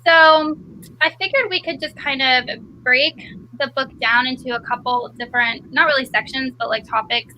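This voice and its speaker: Woman moderator, informal and conversational. High-pitched, crisp forward tone, background noise on a call recording. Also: steady tone, normal speed, bright, forceful tone, rough recording. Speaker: host of book discussion live show. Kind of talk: casual moderated chat.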